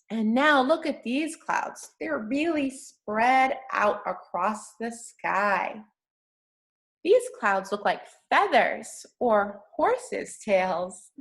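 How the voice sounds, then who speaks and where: Slow, high-voiced woman reading to children. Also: fairly bright tone. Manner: encouraging, simple child-directed talk with frequent questions. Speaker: teacher reading aloud to young children. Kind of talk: children's picture book read-aloud with questions.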